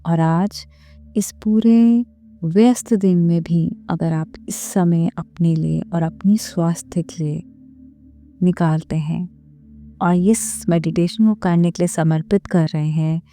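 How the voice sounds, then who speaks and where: Slow, mellow woman guiding a meditation. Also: on the low side, fairly even intonation. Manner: gentle, measured, unhurried phrasing with pauses. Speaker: meditation guide and series host. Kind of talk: guided meditation, slow soothing instruction. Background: background music.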